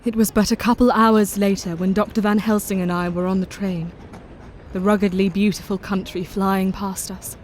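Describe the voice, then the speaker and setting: An actress playing a devoted, brave young wife in an audio drama, speaking gently and from the heart, with faint background noise. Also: female voice, medium pitch, fairly even intonation, moderate pace, close to an everyday voice. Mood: somber. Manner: gentle, heartfelt, softly spoken. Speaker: narrator of her own journal.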